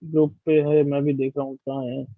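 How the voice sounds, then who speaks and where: A man teaching an online class, drilling his students with quick questions. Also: mid-range voice, little pitch movement, dry recording, phone-quality sound. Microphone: video call.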